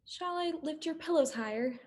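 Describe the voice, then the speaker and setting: A fairly high voice of a kindly married sister in a period play , acted by a woman. She is chatty and solicitous, a busy visitor with caring words. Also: animated, moderate pace, darkish tone. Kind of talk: dramatic reading of a 19th-century play.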